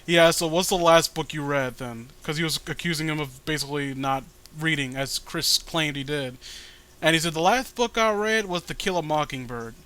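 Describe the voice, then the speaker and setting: A man's voice: fairly high pitch, moderate pace, bright, forceful tone. A man giving casual comedic commentary as he reads aloud, sweary, exasperated and rambling. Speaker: co-host of a comedic reading show.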